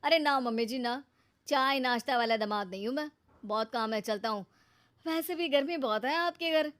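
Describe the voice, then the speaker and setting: Cartoon son-in-law, played by a woman: high voice, self-important, mocking, boastful. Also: normal speed, a bit bright. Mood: mocking, dismissive. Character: boastful, taunting son-in-law, an adult man. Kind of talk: Hindi cartoon moral-story dialogue.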